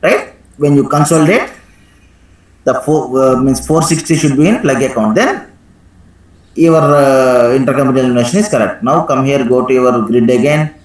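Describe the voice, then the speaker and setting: An echoey recording of a man with a medium-pitched voice leading a live software lesson. He gives step-by-step instructions in a teacherly way and often stops to check that listeners follow. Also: normal speed. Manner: instructive, frequently checks with "right?". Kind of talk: live screen-share software tutorial with questions to students. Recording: echoey room sound.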